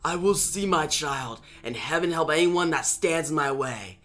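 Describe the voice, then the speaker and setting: A man's voice: fairly high pitch, lively intonation, moderate pace, fairly bright tone. A man voicing a fierce, defiant ancient antihero from a comic book, with dramatic declarations. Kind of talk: comic book story reenactment.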